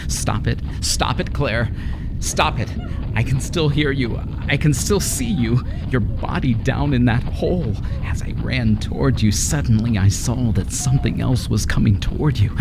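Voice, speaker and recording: An actor playing a terrified, guilt-ridden man sliding into madness in an old-time radio horror drama, his monologue anguished, halting and melodramatic. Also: medium pitch. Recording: heavy noise under the voice.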